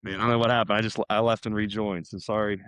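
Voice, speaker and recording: Casting: American man, middle-aged, low register, loose chatty storyteller, dry sound. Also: level tone, quick pace, fairly bright tone, clean tone, no room sound. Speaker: podcast guest, salesman. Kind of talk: casual chat.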